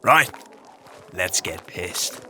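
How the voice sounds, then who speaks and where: An actor playing a brash, sardonic, hard-drinking warrior in an audio drama, blunt, boisterous and sweary. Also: male voice, low-pitched, animated, extremely slow, sharp tone, slight background noise, exaggerated voice. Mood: teasing, brash.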